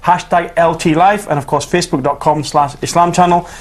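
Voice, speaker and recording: A man's voice: fairly high pitch, fairly even intonation, fairly quick. A man presenting a studio show, reading out contact details and welcoming a guest in an upbeat way.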